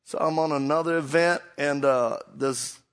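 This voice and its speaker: A man's voice: a little high, fairly even intonation, normal speed, clear, resonant tone. A middle-aged man from Louisiana with a Cajun accent, a preacher giving a hyped-up, self-deprecating comic talk to a live audience. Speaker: preacher and motivational speaker.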